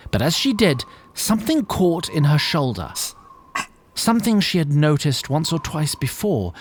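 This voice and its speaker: Wry, very animated fantasy storyteller. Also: male voice, mid-range voice. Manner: formal, wry, florid phrasing. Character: wry, theatrical storyteller addressing his listeners. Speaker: narrator. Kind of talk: scripted dramatic fantasy narration.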